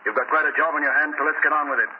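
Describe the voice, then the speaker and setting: An actor playing a businesslike, commanding surgeon giving methodical instructions in a 1930s radio drama. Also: male voice, a little high, steady tone, very fast, natural voice. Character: brisk, businesslike male surgeon advising by radio. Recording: tinny, noisy 1930s radio recording.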